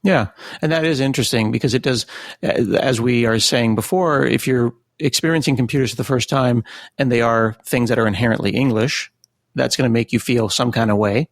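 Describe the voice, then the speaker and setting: A man's voice: medium pitch, a little fast, dry recording, clean studio sound. An American man hosting a casual interview, asking and reflecting in an inquisitive, conversational way. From United States. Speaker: podcast host, interviewer.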